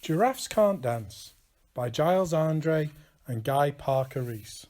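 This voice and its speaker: Man, children's storyteller, unhurried pace, lively swooping intonation, expressive. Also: on the high side, a little hiss or hum. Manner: expressive, deliberate. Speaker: school teacher reading to pupils. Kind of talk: children's picture-book storytelling.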